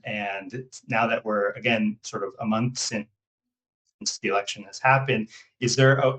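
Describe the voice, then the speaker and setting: A man with an American accent hosting an interview in a halting, wordy, conversational way, circling around his questions. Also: medium pitch, rough recording. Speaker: podcast host.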